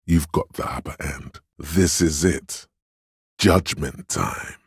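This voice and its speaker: An actor playing a stern, commanding inner voice of authority in a video game, speaking in a grave, imperious, theatrical way. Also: male voice, extremely low pitch, takes it slowly, breathy edge, no echo, studio quality, exaggerated voice. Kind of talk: dramatic scripted game narration.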